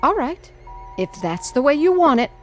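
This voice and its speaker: A woman acting in a radio drama as a sharp-tongued, concerned newspaper colleague with a pointed, no-nonsense manner. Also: high-pitched, very animated, moderate pace. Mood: worried, insistent. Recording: music under the voice.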